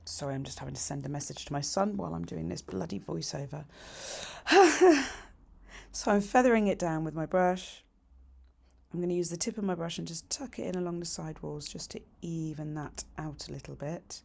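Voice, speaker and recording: A middle-aged woman with a croaky voice, chatting her way through a nail tutorial voiceover with practical, cheerful asides. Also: lowish voice, moderate pace, darkish tone. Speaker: nail technician teaching a tutorial.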